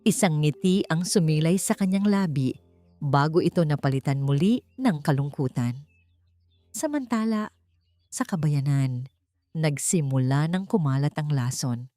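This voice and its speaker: A woman's voice: low-pitched, measured pace, warm tone, faint music behind. A woman with a Filipino accent narrating a dramatic scripted story. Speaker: audiobook narrator.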